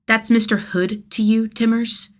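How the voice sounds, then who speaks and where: A woman voicing a cocky, sardonic young male vigilante with a gruff fondness, in an audio story. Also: a little high, level tone, unhurried, pitched above the performer's own voice, lightly acted. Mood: cocky, teasing. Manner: teasing, sardonic, sweary.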